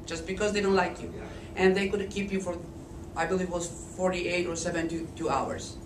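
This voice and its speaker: A woman in her fifties from Poland with a Polish accent, hoarse from a cold, telling her life story slowly and deliberately with frequent restarts. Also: mid-range voice. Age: 50s. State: hoarse, voice lowered by illness. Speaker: interviewee recounting life story. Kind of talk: oral history testimony. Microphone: Flip camcorder at a distance. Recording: echoey, distant camcorder audio with noise.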